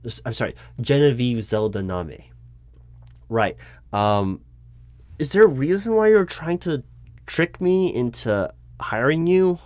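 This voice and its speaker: A man playing a nervous, baffled corporate recruiting manager in an audio roleplay, polite and earnest with halting, stammering speech. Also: medium pitch, expressive, darkish tone, dry recording, lightly acted. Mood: suspicious. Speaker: roleplay performer. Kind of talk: one-sided audio roleplay of a job interview.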